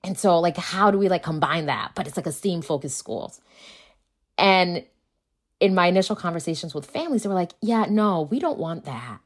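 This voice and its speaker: Low-pitched woman talking casually. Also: lively intonation, moderate pace. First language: Akan. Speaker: guest, school founder. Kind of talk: casual conversational interview.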